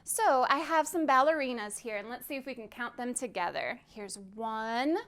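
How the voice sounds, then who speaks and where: Woman, children's librarian, high voice, gentle storytelling, counting rhymes with kids. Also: lively intonation, normal speed. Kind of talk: virtual storytime reading to children.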